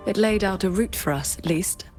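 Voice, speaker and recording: A woman playing a stoic, formal swordswoman and stern mentor in a video game, speaking in a measured, restrained way. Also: medium pitch, darkish tone, soft background music, close to an everyday voice. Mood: composed. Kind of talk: video game character dialogue.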